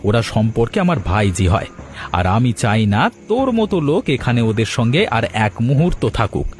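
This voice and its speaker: A man's voice: medium pitch, very animated, a little fast, natural voice. A man voicing a blustering, protective village uncle in a cartoon folktale. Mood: stern, accusing. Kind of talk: Bengali cartoon folktale acting. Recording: music bed behind the voice.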